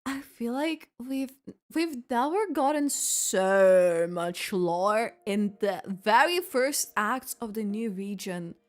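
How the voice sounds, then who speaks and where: A woman's voice: mid-range voice, expressive, slow. A woman streaming a video game, giving casual reaction commentary in a rambling way, often trailing off mid-thought.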